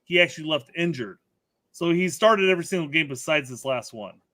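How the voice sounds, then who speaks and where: Man, crisp bright voice, dry close sound, casual soccer chat. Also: a little high, expressive, brisk, no echo. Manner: careful, fact-checking, corrects himself. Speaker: podcast co-host.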